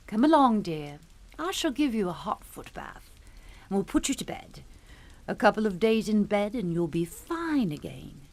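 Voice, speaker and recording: An actress playing a proper middle-aged matron in a suspense drama, fussy and theatrically concerned. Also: female voice, medium pitch, very animated, moderate pace, close to an everyday voice. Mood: fussing. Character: proper, fussy English matron, mothering and controlling. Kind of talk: radio suspense drama acting.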